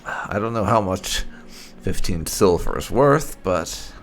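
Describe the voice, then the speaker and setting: A man's voice: sits low, lively intonation, takes it slowly. A man giving casual, roleplay-flavored video game commentary, musing aloud as he plays. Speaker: gaming channel host. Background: game music.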